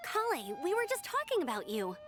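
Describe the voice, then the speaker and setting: An upbeat, friendly young lady knight from a game. Her voice is high and lively, with faint music behind. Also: a little fast, full character voice. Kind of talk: English video game voice acting.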